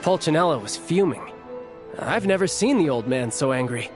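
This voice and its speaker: Young male officer played by an actor, video game: easygoing, earnest, higher register, light music underneath. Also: lively intonation, moderate pace, close to an everyday voice. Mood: friendly, casual. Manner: easygoing, conversational, candid. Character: breezy, confident young male military officer, earnest and loyal.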